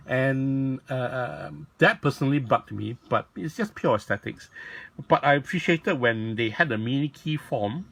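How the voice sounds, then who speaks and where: A man with a raspy voice, talking casually and rambling as he shows off a toy in a video. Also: mid-range voice, poor audio. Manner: rambling, self-correcting, lots of fillers. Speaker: toy blogger and vlog host.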